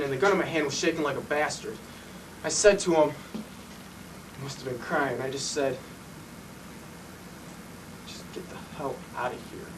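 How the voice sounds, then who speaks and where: A man's voice: high voice, fairly bright tone, distant mic, slight background noise, poor audio. An actor playing an intense city fireman and former cop, blunt and profane in a dramatic stage monologue. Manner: profane, blunt, colloquial storytelling.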